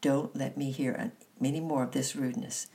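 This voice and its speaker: Woman playing a stern storybook aunt, very low. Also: moderate pace, gravelly, a little mellow. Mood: firm, angry. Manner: stern, clipped, authoritative. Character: strict, cool adult aunt in an English storybook.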